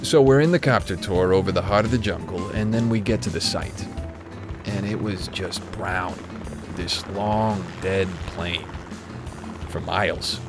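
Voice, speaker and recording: A man's voice: sits low, unhurried, over music. An actor playing a retired, conscience-stricken corporate executive, an older man reminiscing in a documentary interview. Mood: troubled, reflective.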